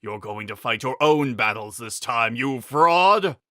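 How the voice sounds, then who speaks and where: Man acting out a scornful, forceful villain knight. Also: mid-range voice, animated, unhurried, dry recording, clean studio sound. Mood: offended, scornful. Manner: scornful, declamatory. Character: sneering vengeful male knight villain, proud and scornful. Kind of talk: dramatic fantasy audiobook reading.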